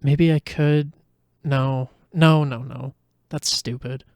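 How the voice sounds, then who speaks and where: A man's voice: medium pitch, steady tone, slow pace, gravelly, darkish tone, dry recording, studio quality. A man voicing an anxious but determined, sarcastic teenage girl adventurer who talks to herself in an audio fan fiction. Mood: pondering. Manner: wry, talking to self.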